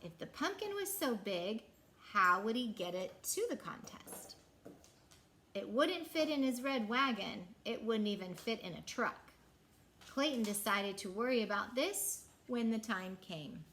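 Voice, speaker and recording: A woman storyteller reads a picture book to young listeners. Her voice is fairly high and highly animated in pitch, with deliberate, dramatic phrasing that stretches key words. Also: slight background noise. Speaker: children's storyteller. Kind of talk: expressive picture-book read-aloud.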